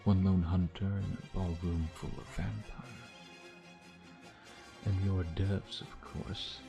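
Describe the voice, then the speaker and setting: A man's voice: sits low, little pitch movement, slow, creaky, music in the background, full character voice. A man playing a suave, predatory vampire in an intimate ASMR roleplay, taunting in a sardonic, flirtatious way with murmured asides. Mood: playful menace. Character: suave, teasing, predatory male vampire, playfully menacing.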